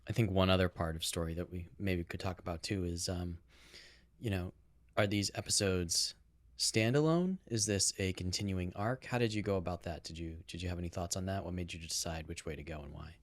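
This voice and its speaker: A man's voice: low voice, a little fast, no echo. A man co-hosting a podcast in a casual, conversational style. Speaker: podcast co-host.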